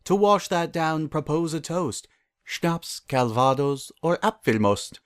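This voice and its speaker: A man's voice: fairly high pitch, animated, slow pace, no room sound. A man narrator reciting rhyming poems from a script. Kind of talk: poetry recitation.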